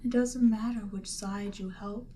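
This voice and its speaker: A woman voicing a seductive, imperious demon queen in an audio roleplay, speaking deliberately and theatrically, with breathy near-whispered phrases. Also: mid-range voice, fairly even intonation, warm tone, a little hiss or hum, lightly acted. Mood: coaxing, persuasive. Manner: slow, deliberate, theatrical, persuasive. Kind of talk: roleplay audio drama, ASMR monologue.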